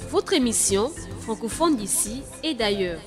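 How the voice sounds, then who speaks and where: Woman, promo voice-over, fairly high voice, emphatic, measured, music underneath. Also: animated. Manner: measured, emphatic, repeats words as echoes. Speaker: jingle voice-over announcer. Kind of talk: scripted promo voice-over with echoed words.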